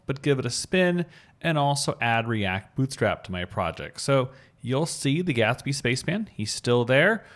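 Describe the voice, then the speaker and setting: A man giving an upbeat, informal screencast coding tutorial, explaining things step by step. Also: medium pitch. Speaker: web developer giving a tutorial.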